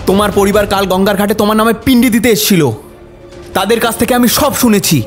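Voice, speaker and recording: A man's voice: high-pitched, fairly even intonation, fast talker, faint music behind, close to an everyday voice. A man voicing an angry young husband in a cartoon, accusing sharply.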